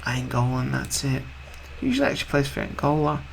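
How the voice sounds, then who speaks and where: A man hosting a video game let's-play in a casual, rambling way, slightly husky from a cold. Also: mid-range voice, big pitch swings, fast talker, slight background noise. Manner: rambling, offhand, chatty.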